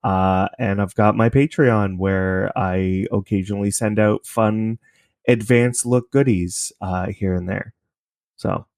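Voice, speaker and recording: A man talking casually as an interview guest, halting often as he explains things. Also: lowish voice, fairly even intonation, a little mellow, dry recording, clean studio sound. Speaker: interview guest, podcast creator.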